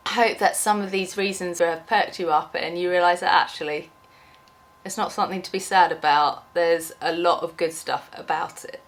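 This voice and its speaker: Young woman vlogger, slightly low voice, has a cold, rambling and chatty. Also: fairly even intonation, moderate pace. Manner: chatty, rambling, frequent ums and restarts. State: has a cold, losing her voice. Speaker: small YouTuber. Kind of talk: unscripted rambling vlog.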